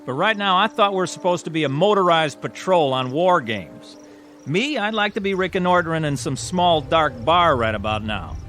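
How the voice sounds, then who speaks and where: An actor playing a cynical, wisecracking army corporal in a radio drama, sarcastic and slangy. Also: male voice, fairly high pitch, lively intonation, moderate pace, fairly bright tone, soft background music.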